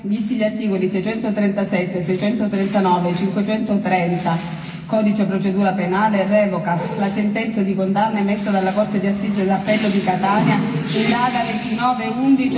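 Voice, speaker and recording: An actress plays a formal female judge in a stage drama, reading a legal text in a measured, official way. Her mid-pitched voice rings in a reverberant hall. Noise is clearly heard behind it. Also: distant mic, close to an everyday voice.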